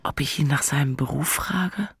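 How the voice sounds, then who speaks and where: A woman's voice: low voice, normal speed, vocal fry, slightly rough, no room sound, clean studio sound, soft background music, heavily acted. An actress playing an emotional, infatuated single city woman in an intimate audio drama. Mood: infatuated musing.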